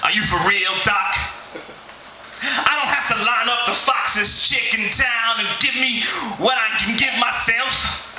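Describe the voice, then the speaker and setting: A man's voice: very high-pitched, measured pace, pushed and bright, echoey room, recorded from a distance, soft background music, exaggerated voice, poor audio. A man playing a hip sales manager in his twenties, slangy and full of swagger but insecure underneath, in a comic reading. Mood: confessional. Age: 20s. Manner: slangy 1970s hipster swagger.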